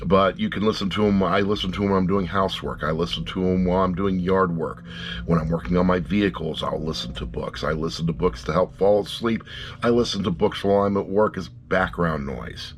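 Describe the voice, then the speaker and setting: A man's voice: deep voice, a little fast, slight background noise. A middle-aged man giving a casual, rambling review to camera in a blunt, wry way, often repeating phrases for emphasis. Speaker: audiobook reviewer.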